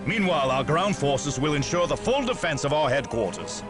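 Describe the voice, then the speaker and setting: A proud, zealous space marine commander in a game cutscene. He orates with grand solemnity and dramatic pauses, his fairly high male voice rising and falling boldly over music. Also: very animated. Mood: rousing, resolute. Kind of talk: video game cutscene acting.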